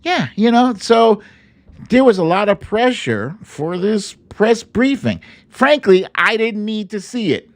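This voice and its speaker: A man with a fairly high, very animated voice delivers casual, opinionated live commentary. He takes his time between points, talking bluntly with slang and swearing like a livestream host. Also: a little slow.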